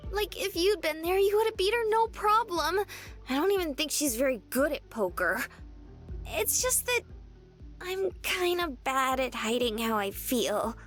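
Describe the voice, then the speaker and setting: A woman's voice: very high voice, sing-song, a little slow, a bit bright, soft background music, heavily acted. A woman voicing a naive, apologetic young woman in a visual novel, with a girlish, earnest delivery. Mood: earnest, excited.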